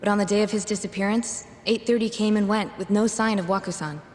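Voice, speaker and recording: A woman's voice: mid-range voice, steady tone, fast talker, a little mellow, a little hiss or hum, close to an everyday voice. An actress playing a hesitant, polite female hospital worker in a video game.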